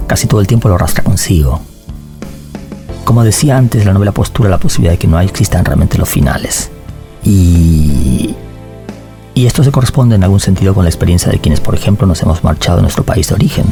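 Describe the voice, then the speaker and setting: A man, a novelist answering interview questions in a measured, thoughtful way with many fillers. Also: low-pitched, expressive, creaky, mellow, faint music behind. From Argentina. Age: 40s. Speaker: novelist guest.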